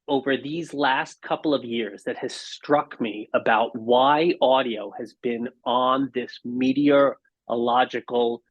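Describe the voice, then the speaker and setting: Male interview guest, husky voice, articulate explainer, slightly dull lo-fi line. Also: medium pitch. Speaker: podcast guest, audio industry marketing executive. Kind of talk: conversational interview answers. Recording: dull, band-limited audio.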